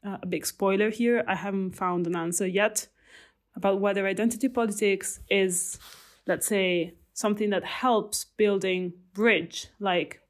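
Italian-accented young woman, academic, thoughtful, halting delivery with restarts. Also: medium pitch, fairly even intonation. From Italy. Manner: thoughtful, many fillers and restarts. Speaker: researcher. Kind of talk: reflective conversational talk.

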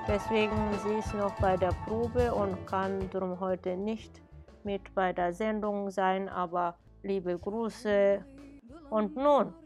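A woman hosting a radio interview, warmly enthusiastic and hesitant, with many fillers. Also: medium pitch. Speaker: radio show host.

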